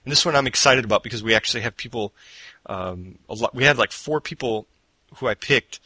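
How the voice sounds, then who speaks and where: A man hosts a laid-back gaming podcast, his voice bright and forward. He rambles with plenty of fillers and restarts, self-deprecating as he goes. The headset mic sits off to the side in a dry home room. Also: medium pitch, brisk, slightly rough, no echo. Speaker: podcast host. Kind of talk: casual gaming podcast chat. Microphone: borrowed headset mic off to the side.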